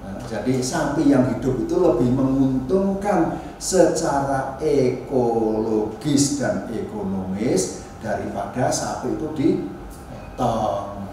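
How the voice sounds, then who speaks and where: A man giving an informal academic lecture as a university teacher, halting and restarting, often adding tag questions. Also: mid-range voice, lively intonation, mellow. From Indonesia. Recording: very echoey room, distant mic, faint noise.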